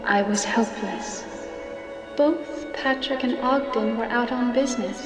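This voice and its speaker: A woman voices a gentle, solemn ghost who pleads slowly and with calm conviction. Her unhurried voice sounds from far off in a long, echoing hall, with music playing clearly underneath. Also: a little high, natural voice. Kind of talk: 1990s game cutscene acting. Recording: distant echoey hall with music.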